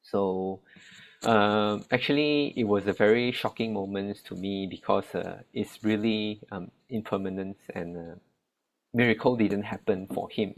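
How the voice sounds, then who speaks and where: A young man with a Malaysian Chinese accent, giving a talk about relief work, halting often with fillers and restarts. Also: on the low side, vocal fry, low-quality recording. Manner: hesitant, many fillers and restarts. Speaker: relief volunteer. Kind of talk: spoken talk.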